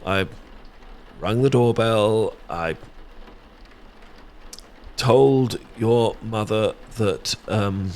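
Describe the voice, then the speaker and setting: A man's voice: lowish voice, drawn-out words, pauses often, darkish tone, slight background noise. A British man playing a shy, sweet best friend secretly in love, stammering and hesitant in a romantic ASMR audio roleplay. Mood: sheepish, reminiscing.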